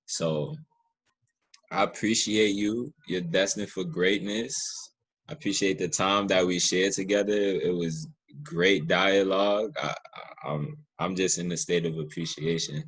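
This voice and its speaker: A man's voice: low-pitched, unhurried, a bit bright, slightly rough. A man hosting a casual interview in an earnest, appreciative and affirming way. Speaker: interview podcast host.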